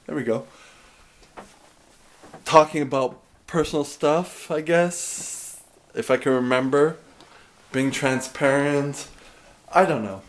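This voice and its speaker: A man in his thirties talking casually to a camera at home in a dark room, chatty and rambling, often cutting himself off mid-sentence. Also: medium pitch, slow pace, long pauses, reverberant. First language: English. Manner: rambling, chatty, self-interrupting. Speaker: vlogger.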